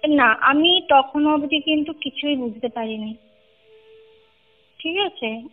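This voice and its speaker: A young woman with a very high voice recounts a personal experience in Kolkata Bengali. Her telling is conversational and emphatic, with repeated points and little checks on the listener. She comes through a narrow, tinny phone line with music playing underneath. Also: slow. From Kolkata, India. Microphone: phone call. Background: clear music bed.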